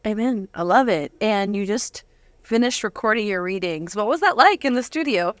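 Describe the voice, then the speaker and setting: A woman's voice: medium pitch, lively intonation, normal speed, no echo. A woman interviewing a guest in a casual, chatty and affirming way. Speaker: interviewer, host.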